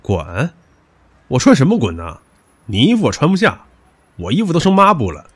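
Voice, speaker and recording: Man acting a brash, husky-edged young suitor, wildly animated. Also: mid-range voice, normal speed, heavily acted. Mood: grumbling, defiant. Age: young adult. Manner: cocky, crude, pushy. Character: stubborn, brash, possessive young male suitor. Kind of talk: modern audio drama acting.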